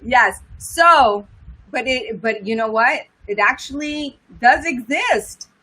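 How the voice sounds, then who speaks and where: Woman co-host, bubbly live-stream chatter, bright, fairly high voice, laughs easily. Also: animated, slow. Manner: bubbly, laughs often, switches between Spanish and English. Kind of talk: casual bilingual chat.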